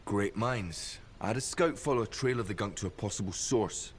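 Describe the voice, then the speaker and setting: Low-voiced jaded agent played by a man. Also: moderate pace, slight background noise, lightly acted. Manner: dry, sardonic. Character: jaded sardonic male corporate agent in disgrace. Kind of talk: video game character dialogue.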